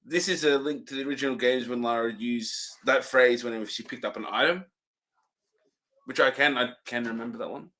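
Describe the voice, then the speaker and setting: A man's voice: medium pitch, brisk, pushed and bright. A young man co-hosting a movie review show, easygoing, laughing often and reading from his notes. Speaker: co-host. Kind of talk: casual review chat.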